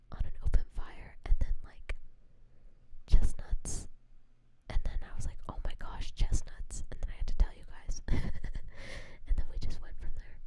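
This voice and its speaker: A woman VTuber streamer whispering through a relaxed ASMR chat, rambling and joking about herself, on a standard non-binaural mic. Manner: rambling, self-mocking, giggly.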